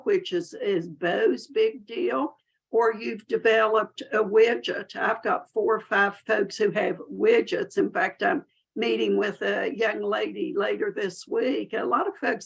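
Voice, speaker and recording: A talkative woman with a warm Southern accent presents on a video call webinar. Her voice carries a gravelly, creaky edge. She speaks in a folksy, self-deprecating way. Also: mid-range voice, poor audio. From Southern United States. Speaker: SCORE chapter chair and presenter.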